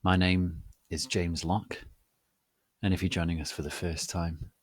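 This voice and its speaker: A man hosting a dramatic reading, theatrical and mock-solemn, speaking deliberately with pauses. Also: low-pitched, little pitch movement, slightly rough. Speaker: host and reader.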